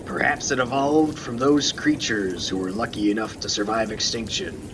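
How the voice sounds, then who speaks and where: A man playing a calm, wise, mysterious lighthouse keeper in a student radio play, speaking in a deliberate storytelling way with pauses. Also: mid-range voice, lightly acted. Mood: calm, reflective. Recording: rain and thunder noise behind. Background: rain and thunder.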